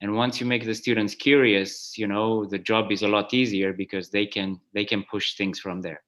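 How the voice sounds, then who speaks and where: A man's voice: on the low side, level tone, moderate pace, a bit bright. A man in his forties, originally from Romania, giving a plenary math talk over a video call, hesitant with many fillers and restarts. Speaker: guest speaker, math professor. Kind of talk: online conference talk.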